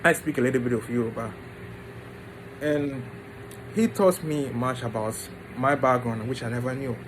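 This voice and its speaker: A man tells his personal story informally, in short halting phrases with pauses. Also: medium pitch, noisy background. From Ghana and the United States. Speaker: vlogger introducing his book.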